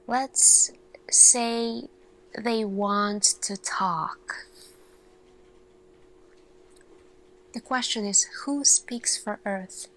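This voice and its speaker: A woman playing an earnest, thoughtful lead scientist in an ASMR roleplay, speaking in a hushed, deliberate way with pauses and searching questions. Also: medium pitch, steady tone, slight background noise, low-quality recording. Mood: hushed, probing.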